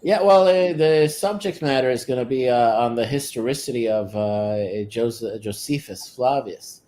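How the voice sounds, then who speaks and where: A man's voice: medium pitch, normal speed, a little mellow. Hesitant, insistent man, native Hebrew speaker. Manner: hesitant, many "uh" fillers, insistent. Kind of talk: casual chat.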